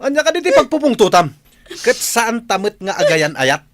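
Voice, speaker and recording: A man's voice: sits high, sing-song, normal speed, fairly bright tone, full character voice. An actor playing a brazen, irritable unfaithful man, brusque and defiant, in an Ilocano radio drama, with an Ilocano accent. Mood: defiant, irritated.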